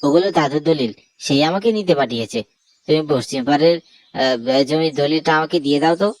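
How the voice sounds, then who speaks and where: A man's voice: a little high, brisk, no room sound, lightly acted. A man voicing a wronged young man in a Bengali horror cartoon, insistent and aggrieved in manner. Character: wronged young man, murdered, now a vengeful restless pond ghost.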